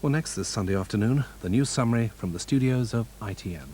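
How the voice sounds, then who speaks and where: A man's voice: lowish voice, quick pace, dark tone, slight background noise. A man with a British accent reading scripted television continuity announcements.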